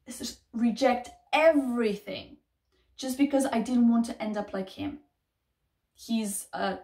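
A woman's voice: a little high, a little mellow. A woman in her thirties, not a native English speaker, giving an emphatic, repetitive motivational talk to camera in a bedroom. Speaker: self-help vlogger. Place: small bedroom, indoors. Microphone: camera a few feet away. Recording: echoey room, distant microphone.

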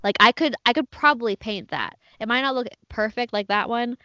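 A woman's voice: a little high, brisk, bright, forceful tone, no echo, highs cut off. Bubbly, enthusiastic young woman. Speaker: podcast co-host. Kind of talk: casual chat.

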